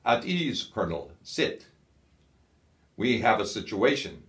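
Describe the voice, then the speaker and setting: A man's voice: fairly low pitch, sing-song, slow pace. A man voicing a curt, scornful German field marshal, a commanding war veteran, in an audiobook. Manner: curt, authoritative. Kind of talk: audiobook character dialogue. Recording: echoey, roomy sound.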